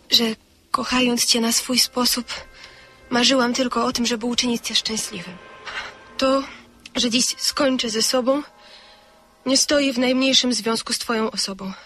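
An actress playing a despairing young woman in a radio play, calm and resigned. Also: a little high, normal speed, long pauses, husky. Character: despairing young woman writing a farewell letter.

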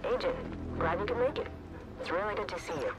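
Casting: inviting game agent, brisk, slightly high voice, music behind. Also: male voice, lively intonation. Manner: familiar, inviting. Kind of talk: video game cutscene acting. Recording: music over the voice.

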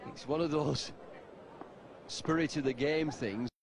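A man's voice: a little high, measured pace. Patient male cricket commentator. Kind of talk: live TV sports commentary. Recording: noisy broadcast.

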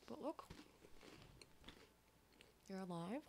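A woman playing a foul-mouthed, sardonic apocalypse survivor in an ASMR horror roleplay, breathy, dry and often whispering. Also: mid-range voice, animated, noisy background. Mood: relaxed, wry. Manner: sweary, dry, unhurried.

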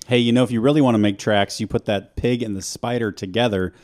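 A man's voice: on the low side, fairly even intonation, quick pace, darkish tone, dry recording, clean studio sound. A man co-hosting a podcast in casual chat, reacting and joking along. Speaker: podcast co-host.

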